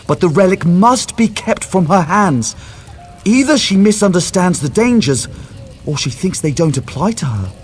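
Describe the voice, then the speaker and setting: Anxious, lively scholar mage. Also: male voice, high-pitched, slight background noise. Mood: concerned, formal. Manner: formal, precise, articulate. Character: scholarly male mage and former priest, cautious, anxious. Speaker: quest-giving character. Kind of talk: video game dialogue.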